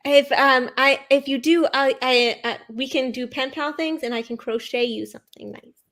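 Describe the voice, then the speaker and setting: A high-pitched woman tosses off puns in a playful, halting way, full of little fillers and giggles. She talks over a video call in quick-fire comedy banter. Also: fairly even intonation, fairly bright tone. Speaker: pun contest competitor.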